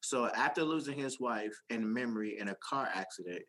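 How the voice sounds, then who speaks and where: Male co-host at a middling pitch, easygoing and jokey, searches for words mid-sentence. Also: fairly bright tone, rough recording. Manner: halting, many ums and restarts, playful. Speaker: podcast co-host. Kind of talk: casual chat.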